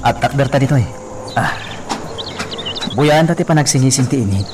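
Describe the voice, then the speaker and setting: A man with an Ilocano accent playing a playful, romantic young gentleman from a landowning family in a radio drama. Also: mid-range voice, lively intonation, moderate pace, over music, natural voice. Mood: admiring, relaxed.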